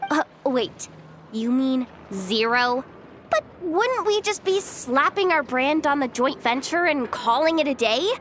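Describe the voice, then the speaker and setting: A woman's voice: high-pitched, lively intonation, unhurried, fairly bright tone, slight background noise. A woman playing a perky, inquisitive young female subordinate in a video game, chatty and pushy with questions. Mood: puzzled, curious. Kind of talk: video game character acting.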